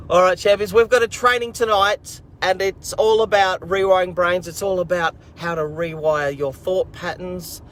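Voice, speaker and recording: A man's voice: high voice, steady tone, unhurried, a bit bright. A man, hosting a children's learning program and chatting casually to camera in an upbeat, rambling, encouraging way.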